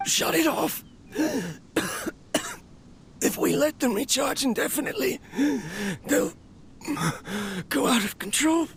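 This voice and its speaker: A man's voice: very high-pitched, expressive, takes it slowly, long pauses, noisy background, full character voice. An actor playing a tired, lonely male researcher in his middle years, speaking heavily to his machine in game cutscene tape logs. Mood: desperate. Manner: weary, heavy, self-reproaching. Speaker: scientist recording tape logs. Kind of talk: video game voice acting.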